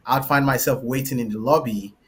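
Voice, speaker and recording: A man's voice: mid-range voice, fairly quick, slight background noise. Nigerian-accented man telling a story casually. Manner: loose, filler-heavy, tags lines with "right?". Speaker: panelist, engineer and founder.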